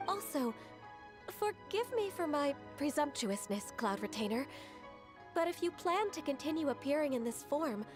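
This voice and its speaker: A woman voicing a gentle, polite young female aide who speaks softly and courteously. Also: high voice, expressive, moderate pace, music in the background. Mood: calm. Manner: soft-spoken and courteous. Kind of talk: video game voice acting.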